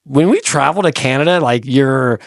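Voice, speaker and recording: Male podcast host, mid pitch, energetic and rambling, dry studio sound. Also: normal speed, vocal fry, no room sound. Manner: rambling, energetic, many restarts. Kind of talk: casual chat. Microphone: studio microphone.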